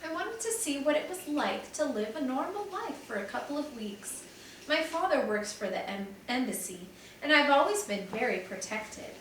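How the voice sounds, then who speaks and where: A woman's voice: high voice, very animated, normal speed, fairly bright tone, a little hiss or hum, pitched above the performer's own voice. A woman reading a earnest young girl. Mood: explaining. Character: polite girl who kept a secret. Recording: echoey room, mic at a distance.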